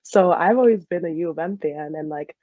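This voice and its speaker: A woman's voice: fairly low pitch, brisk, no echo. A young American woman chats casually as a podcast guest, telling stories in a giggly manner. The recording is dry and somewhat dull, with lo-fi sound. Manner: giggly, laughs often while talking. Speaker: interview guest, former college soccer player. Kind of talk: casual chat, anecdotal.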